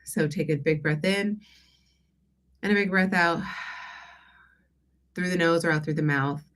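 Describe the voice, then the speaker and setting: A woman hosting a casual live coaching Q&A, congested from allergies, talking conversationally with hesitant pauses and check-ins with her listeners. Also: on the low side, fairly bright tone. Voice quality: stuffy, congested nose. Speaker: host and life and business coach.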